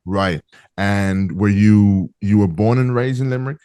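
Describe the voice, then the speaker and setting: A man hosting a call-in interview show, talking in an earnest, measured way with frequent fillers. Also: on the low side, mellow, smooth, no room sound. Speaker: host.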